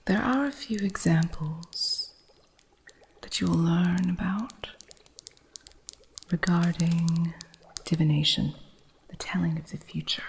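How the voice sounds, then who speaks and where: A woman's voice: fairly low pitch, takes it slowly, long pauses, slight background noise. Woman whispering as a wry witch shopkeeper. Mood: hushed. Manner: soft unvoiced whisper, dry humor. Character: wry female witch shopkeeper teaching an apprentice. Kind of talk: ASMR roleplay.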